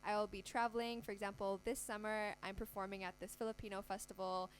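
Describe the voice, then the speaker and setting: A seventeen-year-old singer-songwriter from Thailand, friendly and upbeat, laughing easily as a radio guest. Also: female voice, mid-range voice, flat delivery, quick pace, a little hiss or hum, low-quality recording. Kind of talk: casual radio interview. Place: campus radio studio.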